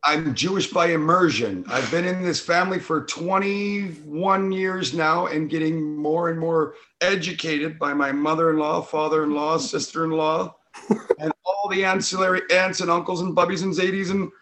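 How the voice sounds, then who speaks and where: A man's voice: a little high, fairly even intonation, moderate pace, a bit bright. A man from Northern Ontario, a guest on an interview, jovial and talkative. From Northern Ontario, Canada. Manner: jovial and talkative, laughs as he speaks. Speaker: bagel business co-owner, guest. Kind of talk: casual interview chat.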